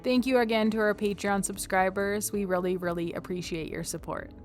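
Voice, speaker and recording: A woman's voice: mid-range voice, fairly even intonation, a little fast, faint music behind. A woman with a Canadian accent hosting a podcast, friendly and dryly joking. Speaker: podcast host. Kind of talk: casual podcast chat.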